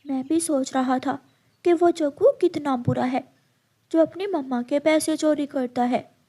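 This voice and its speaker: A woman's voice: high-pitched, unhurried, dark tone. A woman voicing a mischievous young boy crow in a cartoon story, talking in a pleading, childlike way. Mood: childish. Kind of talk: Hindi cartoon story voice acting.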